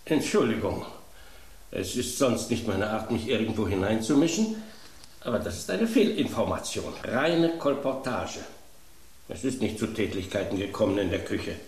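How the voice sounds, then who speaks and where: An actor playing a mature red wine, a polite but condescending snob, in a radio play. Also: male voice, on the low side, big pitch swings, normal speed, a little mellow, roomy sound.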